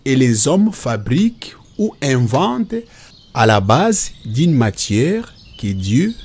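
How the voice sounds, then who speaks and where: Husky man playing a patient cartoon father. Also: medium pitch, big pitch swings, slow pace, a little mellow, exaggerated voice. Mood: patient, instructive. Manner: didactic, explains definitions step by step. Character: patient, instructive father explaining nature and God to children.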